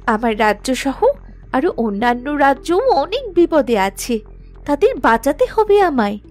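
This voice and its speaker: A woman's voice: sits high, big pitch swings, unhurried, a little mellow, soft background music. A woman voicing a brave, earnest young princess in a fairy-tale cartoon, speaking expressively. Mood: pleading, resolute.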